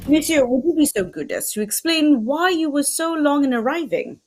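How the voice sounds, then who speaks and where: A woman playing a fussy, courtly Belgian male detective in a radio mystery, speaking formally and deliberately, with theatrical precision. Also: high voice, big pitch swings, background noise. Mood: precise, courteous. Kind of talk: old-time radio mystery script reading.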